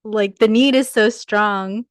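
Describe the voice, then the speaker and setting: Woman, slow, smooth clear voice, dry close sound, hesitant class answer. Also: mid-range voice, fairly even intonation, no echo. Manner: tentative, many "like" and "I guess" fillers. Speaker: student in the class.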